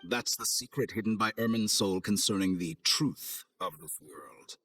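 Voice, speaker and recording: An actor playing an arrogant villain scientist in a game, with a measured, condescending, theatrical delivery. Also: male voice, fairly low pitch, pushed and bright, slightly rough, full character voice. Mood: sly, persuasive. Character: suave, arrogant male villain scientist, coldly amused schemer. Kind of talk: video game cutscene acting. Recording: studio voice over game music.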